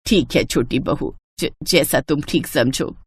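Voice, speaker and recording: A woman's voice: a bass voice, measured pace, noisy background, pitched below the performer's own voice. A woman voicing a simple, mild older village mother-in-law in a storybook tale, homely and naive. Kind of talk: storybook acting.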